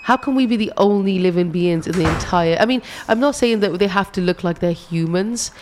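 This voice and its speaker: A woman's voice: lowish voice, brisk, noisy background. A woman co-presenting radio in casual chat, agreeable and chatty. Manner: chatty, agreeable, lots of yeahs.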